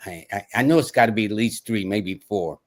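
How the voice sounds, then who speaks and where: A man hosting a casual interview show on a video call, chatty and quick to laugh along with his guest. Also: fairly low pitch, a loud crowd. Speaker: host.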